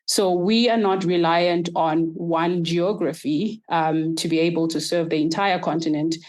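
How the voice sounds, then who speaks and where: A woman from Zimbabwe, answering podcast interview questions in a measured, structured way. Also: fairly low pitch, steady tone, a little mellow. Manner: measured, structured, explains in lists. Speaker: guest, startup accelerator executive.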